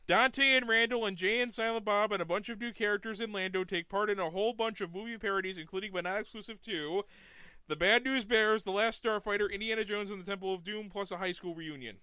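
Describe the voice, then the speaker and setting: High, very bright-voiced podcast co-host. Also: male voice, quick pace, clean tone, no room sound, rough recording. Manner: wry, deadpan quips and laughter. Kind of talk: casual podcast chat.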